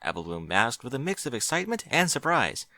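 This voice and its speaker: A man reading a fan fiction story aloud with expressive narration. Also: mid-range voice, fast talker, no room sound, clean studio sound. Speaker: audiobook reader.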